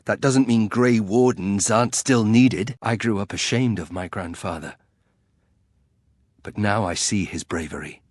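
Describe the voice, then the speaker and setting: A proud, brooding young noble archer from a fantasy game, with a low, husky voice. He is terse and guarded, with a dry wry edge, recorded very close and dry. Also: measured pace, no echo. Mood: somber, resolute. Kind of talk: video game character acting.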